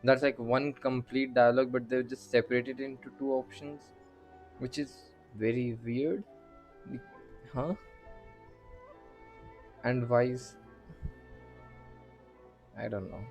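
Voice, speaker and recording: A man comments casually on a game he is playing. His voice is medium in pitch and offhand, like a streamer reacting as he goes, with soft music underneath. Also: fairly even intonation, slow, long pauses. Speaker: gaming streamer. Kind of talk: casual let's-play commentary.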